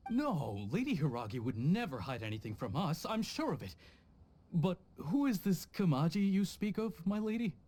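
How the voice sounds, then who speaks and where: Casting: earnest flustered game retainer, higher male voice, animated. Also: normal speed. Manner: earnest, stammering, deferential. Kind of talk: video game voice acting.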